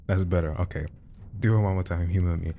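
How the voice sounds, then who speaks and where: A man's voice: low-pitched, fairly even intonation, fast, dark tone, a little hiss or hum. A man hosting a podcast interview, chatty and upbeat, coaxing his guest along. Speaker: podcast host. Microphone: studio microphone.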